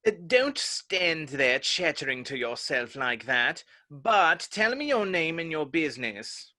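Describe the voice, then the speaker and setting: A man's voice: fairly high pitch, very animated, unhurried, sharp tone, heavily acted. A man playing a haughty, touchy, pedantic egg character in a dramatic reading of a children's classic. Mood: haughty, provoked.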